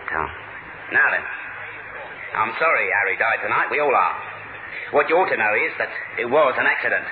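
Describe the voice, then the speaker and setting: An actor playing a cocky, sardonic British secret agent in a 1970s radio drama, talking in a casual, dry, needling way. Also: male voice, medium pitch, lively intonation, moderate pace, lightly acted. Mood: brisk, controlled. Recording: noisy narrowband 1970s radio recording.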